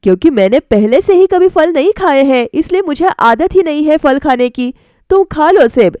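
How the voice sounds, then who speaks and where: A woman voicing a reluctant but affectionate young husband in a storybook, hesitant and gently refusing. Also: high-pitched, lively intonation, normal speed, no echo, clean studio sound, voice pushed up.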